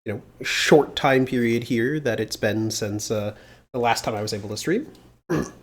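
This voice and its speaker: A slightly congested man chatting casually on a live coding stream, thinking aloud with plenty of filler pauses, into a mounted mic at a home desk. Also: mid-range voice. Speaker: livestreamer doing security research. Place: home desk with mechanical keyboard. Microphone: mounted desk studio mic.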